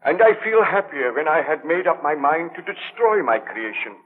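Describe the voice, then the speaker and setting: An imperious, obsessive young baron of science in old radio melodrama. His voice sits fairly high and swoops widely, commanding yet troubled. The recording is a muffled vintage thirties broadcast. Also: very animated, moderate pace. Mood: grave, recounting. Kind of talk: 1930s radio acting.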